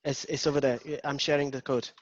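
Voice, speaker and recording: Portuguese guy coaching a workshop over a video call, flat voice, a bit muffled, lots of ums. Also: medium pitch, quick pace, no echo. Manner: hesitant, lots of ums and restarts. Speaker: agile coach leading a webinar. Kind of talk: interactive online workshop talk.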